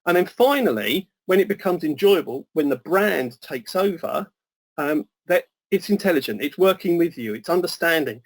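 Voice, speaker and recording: A man's voice: a little high, expressive, normal speed, rough recording. Man, webinar guest, casual discussion, hesitant, rambling, polite.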